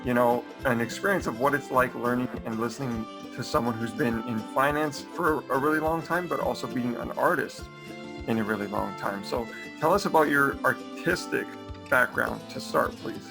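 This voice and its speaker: A man's voice: medium pitch, moderate pace, gravelly, music in the background. A young man hosting an interview in an easygoing way, often saying "you know". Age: young adult. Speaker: interview host. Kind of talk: casual interview talk.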